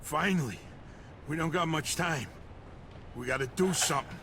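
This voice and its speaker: An actor playing a crude, defiant mobster in a video game. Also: male voice, a little high, normal speed, background noise, natural voice. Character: brash male mobster, foul-mouthed.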